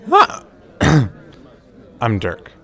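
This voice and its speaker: A man's voice: medium pitch, drawn-out words, vocal fry, some chatter behind, full character voice. Man playing a sullen teen boy. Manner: flat, short sulky answers. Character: sullen reluctant teenage boy student. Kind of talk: radio drama acting.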